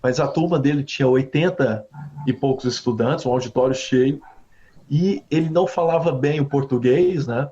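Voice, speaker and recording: A man from Brazil, a university professor, answering interview questions with animated stories, often ending phrases with a questioning tag. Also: mid-range voice, moderate pace, a little hiss or hum. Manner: animated storytelling with frequent "né?" tags. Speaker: guest professor. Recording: slightly dull lo-fi audio.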